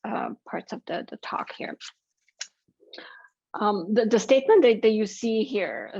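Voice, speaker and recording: A woman's voice: medium pitch, no echo, lo-fi sound. Bulgarian-born woman lecturing over a video call. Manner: measured, many fillers and restarts. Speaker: guest lecturer, political science professor. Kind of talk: academic presentation.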